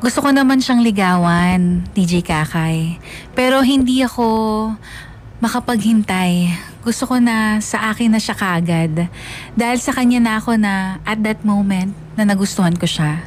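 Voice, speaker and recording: A woman's voice: mid-range voice, normal speed. A woman with a Filipino accent, a radio DJ narrating a listener's letter in an emotive first-person style. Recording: faint music under narration. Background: faint background music.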